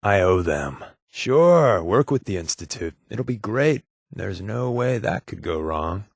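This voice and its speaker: A man's voice: lowish voice, big pitch swings, a little slow, gravelly, dry recording, studio quality, heavily acted. A male actor playing a sly, sardonic undercover rebel spy in a video game, tossing out glib, casual quips. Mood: wry. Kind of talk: video game companion dialogue.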